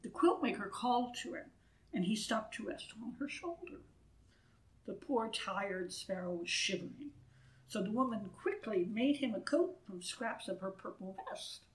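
A woman in her sixties reading a children's story aloud in an expressive, deliberate way, with asides to listeners, recorded indoors a few feet from the camera. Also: medium pitch, rough recording. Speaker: storybook reader. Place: wood-panelled church room, indoors. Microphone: camera a few feet away.

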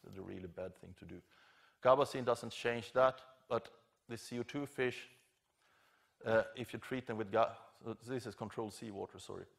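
A middle-aged man giving an academic conference lecture, explaining informally and haltingly with self-corrections. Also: on the low side, gravelly. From Scandinavia. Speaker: professor, keynote lecturer. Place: large lecture theatre stage at a podium.

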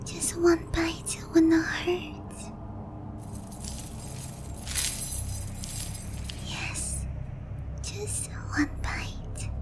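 Woman as obsessive vampire princess, very slow whispery delivery, breathy, lovesick, intimate roleplay ASMR. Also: very high-pitched, full character voice. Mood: hungry, pleading.

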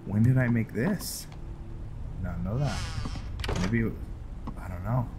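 A man giving casual, low-key video game commentary, thinking aloud as he plays, with music behind him. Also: unhurried. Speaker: gameplay host.

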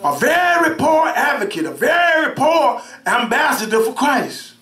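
A middle-aged minister preaching a sermon, with call-and-response, repeated words and swings between shouts and soft asides. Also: male voice, extremely high pitch, big pitch swings, measured pace. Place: church sanctuary, indoors, at a pulpit. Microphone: pulpit mic from a far camera. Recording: roomy church sound from far off.

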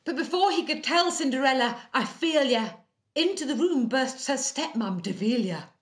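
A woman's voice: high voice, expressive, normal speed. A woman playing a storybook narrator, telling a comic fairy tale in scripted rhyme. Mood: storytelling. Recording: music behind the voice.

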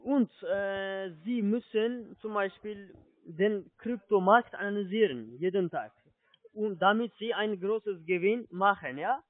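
High-voiced foreign-accented man on muffled phone. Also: no echo. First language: not German. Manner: halting explanations, often checking with "ja?". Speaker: telephone sales agent, scammer. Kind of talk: phone sales pitch. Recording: muffled low-fidelity phone line.

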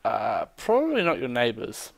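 A young man chatting casually while playing a game with a friend, reacting quickly. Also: medium pitch, wildly swooping pitch, gravelly, no room sound. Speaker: gamer. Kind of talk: casual let's play chat.

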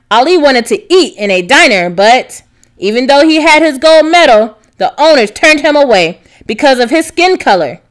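A woman narrating a children's storybook, reading aloud expressively with clear enunciation. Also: high-pitched, fairly bright tone. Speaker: narrator, read-aloud reader.

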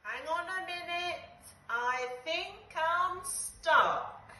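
A woman's voice: very high voice, animated, drawn-out words, sharp tone, voice pushed up, heavily acted. A woman voicing a big, greedy animal thinking aloud to himself in a children's Christmas storybook. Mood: worried. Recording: roomy, distant, slightly noisy.

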